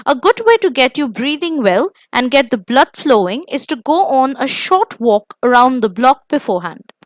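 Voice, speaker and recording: A woman with an Indian accent reading scripted coaching tips in a measured, emphatic instructional manner. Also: high-pitched, sing-song, no room sound. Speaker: presenter of interview coaching lesson.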